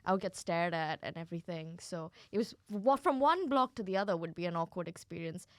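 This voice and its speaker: A woman's voice: lowish voice, animated, a little fast, no room sound. A young woman comedian from Kuwait chatting casually, laughing often and swearing freely. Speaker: comedian guest. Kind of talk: casual podcast chat.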